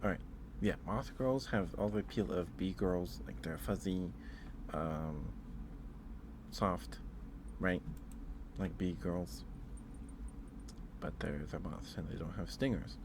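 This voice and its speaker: A man hosting an ASMR livestream in a soft, whispery voice, hushed and unhurried, close to the listener. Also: low voice, background noise. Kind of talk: ASMR, casual chat to live viewers.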